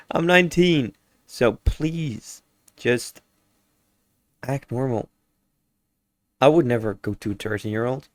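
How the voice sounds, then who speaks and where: A man's voice: medium pitch, lively intonation, slow pace, long pauses, no room sound, studio quality. A nineteen-year-old man reacting to a video in casual commentary, exasperated and meandering, tripping over his words and correcting himself.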